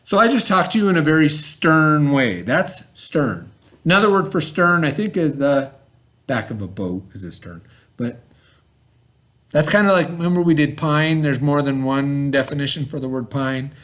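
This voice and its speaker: Guy teacher voice, a bit on the high side, explaining stuff to a kid real informal, keeps checking they get it. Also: lively intonation, normal speed, a bit bright. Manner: conversational, explanatory, checking understanding with questions. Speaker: schoolteacher. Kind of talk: informal read-aloud and vocabulary lesson for a child.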